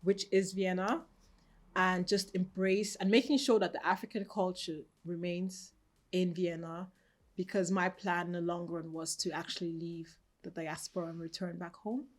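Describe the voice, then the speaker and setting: Austrian-raised shop owner, woman, thirties, calm reflective interviewee. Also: lowish voice, moderate pace. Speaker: boutique owner, guest. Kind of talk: interview answers. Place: her boutique, indoors.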